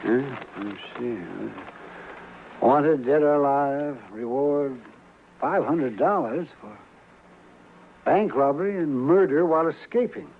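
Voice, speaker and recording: An older frontier doctor in a vintage radio western. His voice sits a little high, crusty and opinionated, with a blunt, grumbling way of talking, and the recording is narrow and faintly noisy. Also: slow, pauses often. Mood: curious, blunt. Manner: crotchety, blunt. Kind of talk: 1950s radio western acting. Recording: old radio recording, thin narrow band, faint noise.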